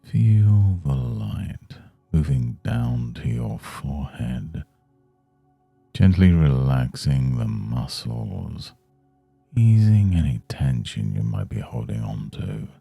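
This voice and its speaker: A man leading a guided meditation in a soothing, measured, hushed manner. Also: a bass voice, big pitch swings, creaky, breathy edge, no echo, soft background music. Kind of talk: guided Yoga Nidra meditation.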